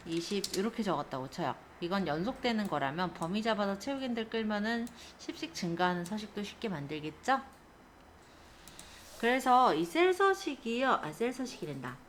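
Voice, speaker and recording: A woman's voice: medium pitch, a little hiss or hum. A woman instructor giving a step-by-step spreadsheet lesson, explaining and often checking in with short tag questions. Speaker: computer skills instructor.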